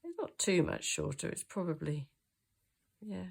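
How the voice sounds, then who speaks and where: A woman's voice: fairly low pitch, very animated, a little slow, creaky, a little mellow, slightly rough. A woman hosting a papercraft tutorial, sounding throaty from a cold and thinking aloud with frequent hesitations. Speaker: craft channel host. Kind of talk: chatty hands-on craft tutorial.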